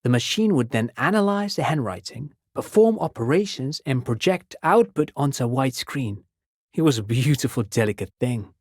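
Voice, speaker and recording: A man's voice: medium pitch, animated, normal speed, a little mellow, no echo, clean studio sound, close to an everyday voice. An actor playing an eager, polite intellectual father in a video game, erudite, genial and given to rambling over-explanation. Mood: eager lecturing. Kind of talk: video game character dialogue.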